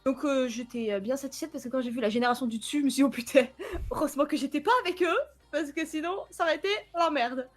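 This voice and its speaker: Une jeune femme du sud de la France, invitée d'une émission esport, qui parle de son parcours sur un ton joueur et moqueur envers elle-même, en riant souvent. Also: female voice, high-pitched, fast talker. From southern France, near Aix-en-Provence. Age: young adult. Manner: playful, self-mocking, laughs often. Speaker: guest, esports team member. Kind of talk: casual interview chat.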